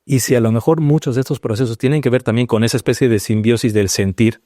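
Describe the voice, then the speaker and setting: A man from Peru, a guest on a studio panel, talking through his thoughts slowly with many pauses and restarts. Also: mid-range voice, fairly even intonation, dark tone, dry recording. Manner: searching, hesitant, full of restarts and pauses. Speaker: panel guest, paranormal researcher. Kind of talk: reflective round-table discussion.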